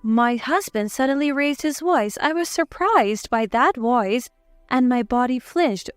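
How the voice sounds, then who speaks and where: Female narrator for scripted family drama, high smooth voice, dry close sound over music. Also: lively intonation, measured pace, no room sound. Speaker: story narrator. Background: background music.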